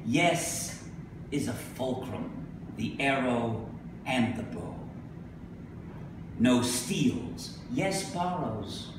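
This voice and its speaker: A middle-aged man giving a live poetry reading, speaking deliberately with emphatic pauses. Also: mid-range voice, animated. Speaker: poet. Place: indoor venue with a staircase, live reading. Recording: echoey room from a distance, noisy.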